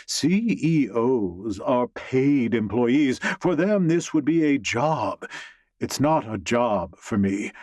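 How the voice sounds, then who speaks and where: A man's voice: mid-range voice, lively intonation, takes it slowly, a little mellow, dry recording. A man voicing an imperious, wealthy old grandmother with a commanding, haughty, emphatic manner in an audiobook. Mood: proud, firm.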